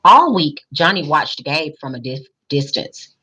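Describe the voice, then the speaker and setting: A woman reading a picture book aloud expressively, pausing in a teacherly way to put questions to young listeners. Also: low-pitched, big pitch swings, pushed and bright, a little hiss or hum, poor audio. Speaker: school principal reading aloud to children.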